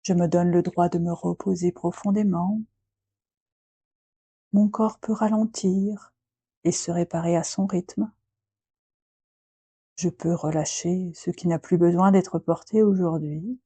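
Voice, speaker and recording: A woman guiding a yoga nidra relaxation in a soft, breathy voice, speaking gently in short phrases with long, unhurried pauses. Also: on the low side, steady tone, dry recording. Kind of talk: guided relaxation meditation, slow and soothing.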